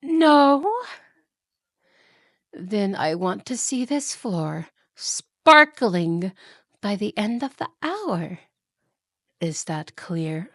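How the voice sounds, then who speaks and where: A woman's voice: medium pitch, big pitch swings, very slow, long pauses, a bit bright, no room sound, studio quality, exaggerated voice. A woman playing a haughty, cruel evil queen and wicked stepmother, imperious, scolding and condescending, in a fantasy audio roleplay. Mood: threatening. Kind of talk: fantasy ASMR roleplay acting.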